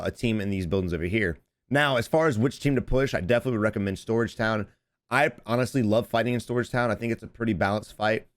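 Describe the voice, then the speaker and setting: A man in his thirties giving casual coaching commentary over gameplay from a home streaming setup, his voice a little hoarse from streaming. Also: mid-range voice. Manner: rapid, repetitive, advice-giving. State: slightly hoarse from screaming on stream.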